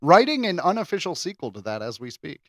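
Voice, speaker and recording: A man hosting lively, joking podcast banter. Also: on the high side, quick pace, no echo, studio quality. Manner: jokey, animated, laughs often, swears. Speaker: podcast co-host. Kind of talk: casual comedic banter.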